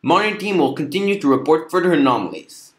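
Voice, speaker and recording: A man reading out a formal, clinical document in a measured, steady way. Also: fairly high pitch, roomy sound, heavy background noise.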